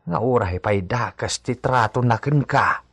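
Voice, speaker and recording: Husky, worried older ranch servant. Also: male voice, medium pitch, a little slow, a bit bright, no echo. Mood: concerned, pleading. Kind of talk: radio drama acting.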